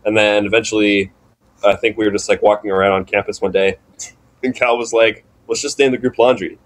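Young male musician, lowish voice, informal halting storyteller, interview guest. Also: flat delivery. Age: young adult. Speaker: band drummer, interview guest. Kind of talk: casual chat, storytelling.

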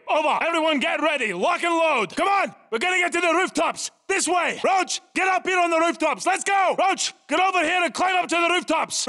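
An actor playing a tough, urgent special forces squad leader in a video game, giving clipped, commanding military orders. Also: male voice, very high-pitched, pushed and bright, full character voice. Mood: urgent shouting. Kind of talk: video game battle dialogue.

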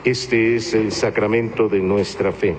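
Solemn priest in a reverberant church. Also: male voice, lowish voice, fairly even intonation. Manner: measured, solemn recitation, partly chanted. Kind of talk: liturgical prayer at Mass. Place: large church. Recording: noisy, long church reverb.

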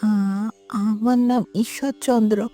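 Woman playing a soft, mellow little schoolboy. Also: mid-range voice, fairly even intonation, takes it slowly, faint music behind, lightly acted. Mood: nervous, polite. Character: obedient, eager little schoolboy of five to eight.

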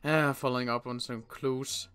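A man playing a wary adult survivor in an improvised game roleplay, talking in a wry, halting way with frequent restarts. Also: mid-range voice, steady tone, fairly bright tone. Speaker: roleplay player.

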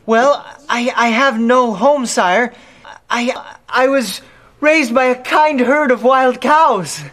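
High-pitched, hapless serving boy. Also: slow, fairly bright tone, full character voice. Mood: nervous, meek. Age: young adult. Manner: hesitant, stammering. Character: hapless, timid young serving boy, meek and earnest. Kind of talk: acting in a live-action game cutscene.

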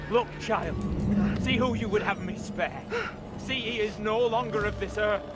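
High, declaiming Puritan zealot. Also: male voice, measured pace, loud music behind the voice. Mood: fervent, condemning. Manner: declamatory, loud, archaic. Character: zealous, merciless Puritan accuser preaching damnation. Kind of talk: dramatic period game acting.

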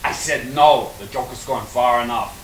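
An actor playing an indignant, contemptuous city lawyer in an audio drama, haughty and argumentative. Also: male voice, medium pitch, moderate pace, natural voice. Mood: indignant, scornful. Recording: hissy, roomy, off-mic drama recording.

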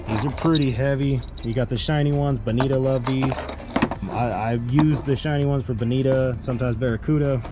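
A young man, casually showing his fishing tackle in a joking, loud, animated vlog style. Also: mid-range voice. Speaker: fishing vlogger. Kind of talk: casual show-and-tell vlog. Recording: music playing under voice. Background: background music.

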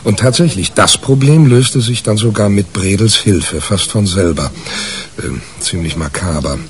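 A man's voice: lowish voice, a little slow. An actor playing a wry, exasperated man in a 1980s radio crime drama, telling his tale dryly and hesitantly. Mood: wry recounting.

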